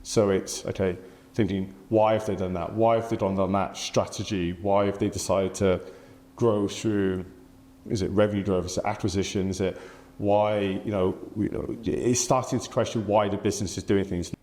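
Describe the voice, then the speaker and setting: A man's voice: lowish voice, fairly even intonation, normal speed, darkish tone, echoey room. A man in his forties with an English accent, answering interview questions thoughtfully with many fillers and restarts. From England. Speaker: podcast guest.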